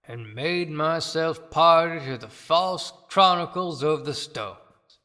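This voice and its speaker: Slow, echoing woman as a rueful stone carver. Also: sits low, a bit bright. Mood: rueful, measured. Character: weary, rueful male tombstone carver, confessing. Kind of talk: theatrical dramatic monologue. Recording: long hall reverb.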